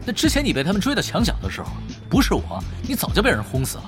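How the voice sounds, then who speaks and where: A man's voice: sits high, huge pitch swings, racing. A man voice actor playing a cheeky, nonchalant, scheming master gamer in a Mainland Mandarin audio drama. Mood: cajoling, cheeky. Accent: Mainland Chinese Mandarin. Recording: long reverb, music behind.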